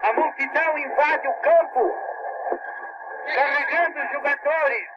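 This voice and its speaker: A Brazilian man calls football in a very high, declamatory voice, in the formal manner of 1930s radio. The archive recording is dull and buried in loud noise. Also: unhurried. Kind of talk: 1930s radio sports commentary.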